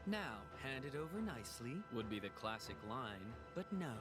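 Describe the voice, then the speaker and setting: A man's voice: mid-range voice, big pitch swings, normal speed, over music, close to an everyday voice. An actor voicing a flamboyant, sneering male game villain with mock politeness and a mannered, taunting drawl. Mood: mocking, smug. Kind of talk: dubbed video game cutscene acting.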